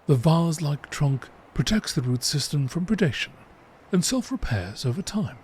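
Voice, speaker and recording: A man reading encyclopedia entries aloud in a measured, informative nature documentary style. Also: fairly high pitch, big pitch swings, a little mellow, slight background noise.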